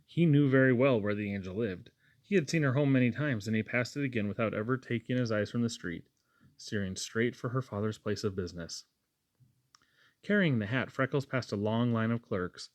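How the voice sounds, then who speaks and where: A man's voice: medium pitch, a little fast, no room sound. A man reading a novel aloud as a storybook narrator. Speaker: audiobook reader.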